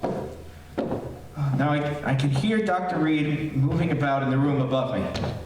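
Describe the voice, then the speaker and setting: A man playing a nervous radio reporter in a haunted house, halting and confiding in his listeners, in old-time radio horror style. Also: fairly high pitch, close to an everyday voice. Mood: jumpy. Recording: echoey distant stage recording, faint noise.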